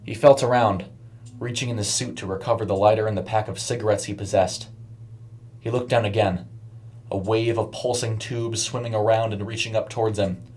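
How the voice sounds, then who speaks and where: A man's voice: on the low side, steady tone, fairly quick, a little mellow. A man narrating a scripted horror short story in a dramatic storytelling style. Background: music under the voice.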